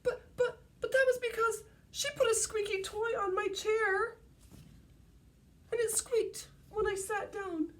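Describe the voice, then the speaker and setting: Bickering storybook bear cub, played by a woman: very high, whiny, protesting, quick to blame. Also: fairly even intonation. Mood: whiny, defensive. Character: whiny squabbling bear cub children blaming each other. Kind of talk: storybook read-aloud for young children.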